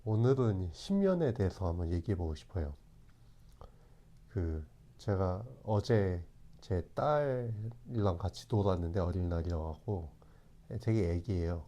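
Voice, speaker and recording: A man in his forties talking in a calm, reflective way, often pausing and restarting his sentences. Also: deep voice. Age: 40s. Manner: calm, hesitant, with many restarts. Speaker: channel host. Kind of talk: reflective talk to camera.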